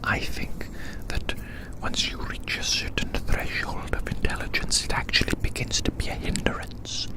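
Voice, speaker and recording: Casting: ASMR whisperer, breathy and unvoiced, close-miked outdoors, whimsical rambling musings with soothing repetition. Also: low-quality recording. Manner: repeats phrases slowly and soothingly. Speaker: ASMR performer. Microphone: close microphone.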